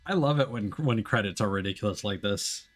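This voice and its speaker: A man's voice: on the low side, expressive, fairly quick, faint music behind. A man doing casual live stream commentary on a video game, chatting to viewers in a wry, sarcastic way.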